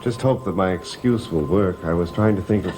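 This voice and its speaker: A man's voice: deep voice, brisk, heavy background noise, natural voice. A man acting in a vintage gothic soap opera, playing a secretive, tormented man on an old television soundtrack. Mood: urgent, haunted. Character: secretive, tormented man dreading a nightly curse.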